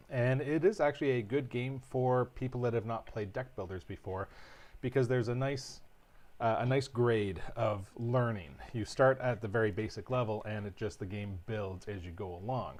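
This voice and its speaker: A man co-hosting a board game livestream, calmly walking through setup and rules in a relaxed, explanatory way. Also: lowish voice, normal speed. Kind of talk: casual livestream rules teaching.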